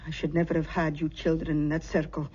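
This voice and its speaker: A woman plays a kindly older aunt in a radio drama, proud but full of regret, with a mellow, soft-edged tone. The old recording is muffled, with clear noise under the voice. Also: deep voice, completely flat delivery, fast, close to an everyday voice. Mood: wistful, regretful.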